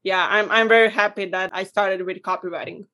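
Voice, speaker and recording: A woman from Croatia, a guest on a podcast, explains her work in a friendly, steady way. Her voice sits at a middle pitch, and she laughs lightly now and then. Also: a little fast. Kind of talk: interview answers.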